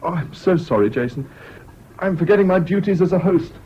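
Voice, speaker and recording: An old, muffled radio drama with faint hiss. a young English student, courteous and reserved, in a somewhat high, flexible voice. He questions things sceptically but stays polite. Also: animated, brisk. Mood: concerned, questioning. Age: young adult. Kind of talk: 1970s British radio drama acting. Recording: dull, hissy old radio recording.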